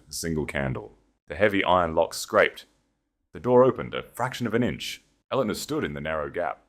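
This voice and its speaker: Male narrator, very low voice, measured dramatic storybook reading.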